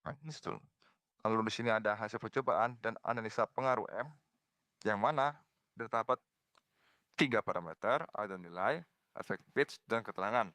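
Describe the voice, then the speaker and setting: A young man giving an explanatory slide presentation as a student, somewhat halting as he reads. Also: lowish voice, sharp tone, no room sound. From Indonesia. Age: young adult.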